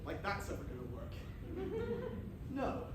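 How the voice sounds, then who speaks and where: A woman's voice: lowish voice, big pitch swings, unhurried, a crowd in the background. A woman playing a vain, jealous hobbit hero with hammy, melodramatic asides in an amateur stage pantomime. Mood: scornful, indignant. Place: theatre stage, miked from a distance. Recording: echoey, noisy theatre recording from far off.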